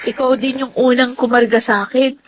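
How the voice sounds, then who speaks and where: High-voiced, affectionate young daughter played by a woman. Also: measured pace, lo-fi sound, crowd noise, close to an everyday voice. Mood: curious, affectionate. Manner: tender, expressive, earnest. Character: affectionate, grateful adopted daughter, girlish and eager. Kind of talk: Tagalog radio drama acting.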